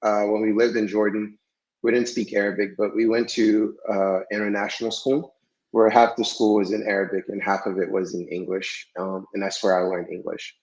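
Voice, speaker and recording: Man, podcast guest, relaxed and hesitant, lowish voice, dull lo-fi sound. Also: moderate pace. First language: French. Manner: relaxed, hesitant, many fillers. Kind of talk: casual interview talk.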